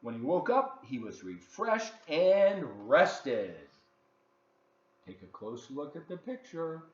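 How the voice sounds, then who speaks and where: A man reading a children's story aloud from his living room, expressive and talking directly to the kids with asides. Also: a little high, slow pace. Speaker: volunteer children's story reader. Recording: dull, roomy home recording with faint noise.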